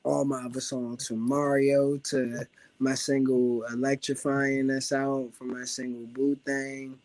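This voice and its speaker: A man from Washington, D.C. with a raspy voice, talking about his music as a guest in a casual, laid-back interview full of filler phrases. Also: mid-range voice, flat delivery, unhurried, a little mellow, dry recording. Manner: laid-back, loose, full of "you know what I'm saying". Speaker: rapper guest.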